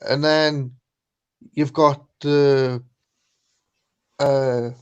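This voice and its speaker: A man joining a casual chat, speaking haltingly with many fillers and restarts. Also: mid-range voice, steady tone, smooth, muffled recording. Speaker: guest on stream.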